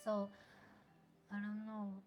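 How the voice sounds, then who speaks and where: A woman in her twenties from Japan, with a Japanese accent, speaking English as a second language in a casual interview and pausing often to search for words. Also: mid-range voice, completely flat delivery, a little mellow. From Osaka, Japan. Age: 20s. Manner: hesitant, searching for words, many fillers. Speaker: guest.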